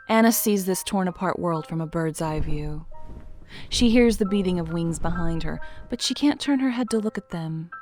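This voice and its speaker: A woman narrating an audio drama in a measured, dramatic storytelling manner. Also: fairly low pitch.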